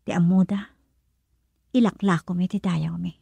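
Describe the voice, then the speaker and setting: Husky, lovestruck young nightclub woman. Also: lowish voice, fairly even intonation, moderate pace, dark tone, no echo. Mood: ashamed, sad. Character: lovestruck young nightclub worker, wistful and self-doubting. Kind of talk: radio drama monologue.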